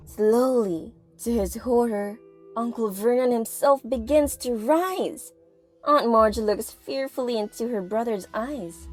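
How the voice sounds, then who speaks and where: A female narrator with a fairly high voice delivers dramatic audio play narration. She takes her time, pausing for suspense, with music underneath. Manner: theatrical, suspenseful, with pauses for effect.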